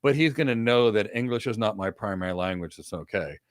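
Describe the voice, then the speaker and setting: A man's voice: lowish voice, animated, fairly quick, dry recording, studio quality. Earnest man giving motivational career advice. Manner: earnest, motivational, speaks in repeated short instructions. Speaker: co-host answering career questions. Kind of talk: live Q&A advice. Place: home office with several monitors. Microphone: desk webcam setup, video call.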